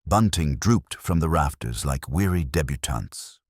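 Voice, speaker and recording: A man reading a scripted mystery story as narrator, in a wry, measured, literary manner. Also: a bass voice, lively intonation, breathy edge, dry recording, clean studio sound.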